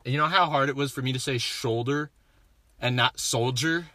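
A man's voice: medium pitch, normal speed, pushed and bright, no echo. A young man with a slight lisp on his S sounds, co-hosting a podcast and rambling about movies in casual chat, often restarting his sentences. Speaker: podcast co-host.

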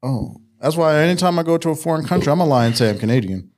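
A man's voice: a little high, quick pace. A man trading crude, joking banter as a podcast co-host, from the United States. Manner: animated, joking, jumps into mock voices.

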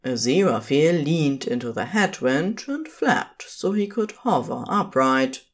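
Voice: medium pitch, very animated, measured pace. A narrator reading scripted fiction for an audio drama.

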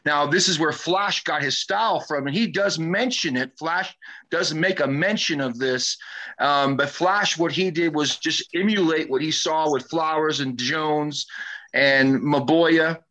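A man's voice: on the high side, a little slow, bright, forceful tone. A middle-aged man, a guest historian, talking at length in an online show interview, often repeating and restarting his phrases.

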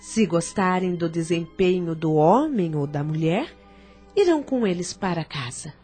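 Slow, seductive female ghost, very animated. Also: on the low side. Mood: knowing. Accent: Brazilian. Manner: coaxing, flirtatious. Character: seductive, worldly female ghost, teasing and knowing. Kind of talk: radio drama acting. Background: background music.

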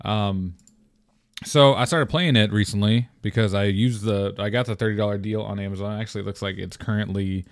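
A young man hosting his own podcast alone, with a deep morning voice, talking in a rambling, relaxed way with lots of fillers and restarts. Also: dry recording, studio quality. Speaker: podcast host. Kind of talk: casual solo podcast talk.

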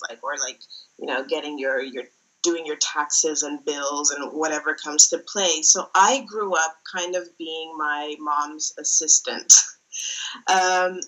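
Young woman from the Netherlands, interview guest; bright, lowish voice, halting and reflective. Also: rough recording. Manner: thoughtful, many fillers and restarts. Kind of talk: casual interview talk.

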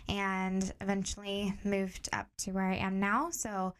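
A young adult woman from Tanzania, a guest on a podcast, calmly explaining ideas step by step with examples. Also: mid-range voice, unhurried, a little hiss or hum. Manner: calm, steady explaining with clear examples. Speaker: guest, director of training.

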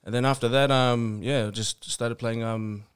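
A man's voice: medium pitch, steady tone, unhurried, noisy background. A man from Melbourne with an Australian accent, chatting casually as an interview guest in a laid-back, hesitant, colloquial way. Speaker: podcast guest, rugby player.

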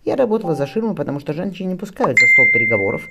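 A man voicing a worried, determined wife and mother in a historical novel, fretful but brisk and affectionate. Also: on the high side, sing-song, creaky, very noisy, pitched above the performer's own voice. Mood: brisk, concerned.

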